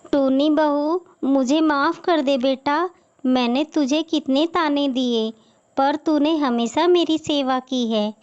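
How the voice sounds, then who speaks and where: Casting: woman as elderly scolding crow matriarch, moral-story cartoon, slow sarcastic delivery, slightly muffled audio. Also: high voice, darkish tone. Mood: remorseful pleading. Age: older. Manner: scolding, sarcastic, self-pitying. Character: bitter, nagging elderly mother-in-law crow.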